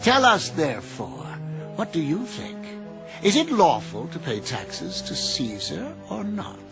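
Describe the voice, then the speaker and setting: An actor playing a sly religious questioner in an audio drama, creaky-voiced and unctuous in his flattery. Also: on the high side, a little slow, full character voice. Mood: oily flattery. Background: music.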